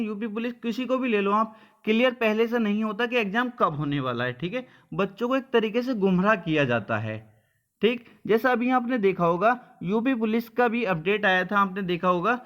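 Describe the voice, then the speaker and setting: A man's voice: high voice, a bit bright. A man giving an informal update to students as a coaching teacher, blunt and colloquial, often checking they follow with short tags.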